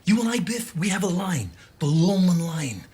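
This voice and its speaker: An eager younger brother, a glib dreamer in a drama. His voice sits a little high and is very husky. He talks persuasively, like a born booster. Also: big pitch swings, moderate pace, darkish tone, full character voice. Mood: eager pitching. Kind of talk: stage-drama acting.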